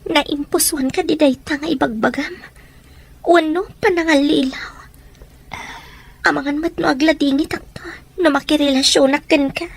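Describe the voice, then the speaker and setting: A woman's voice: high-pitched, fairly even intonation, normal speed, gravelly. An actress playing a poor, earnest young woman with a hesitant manner in an Ilocano radio drama. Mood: earnest, doubtful.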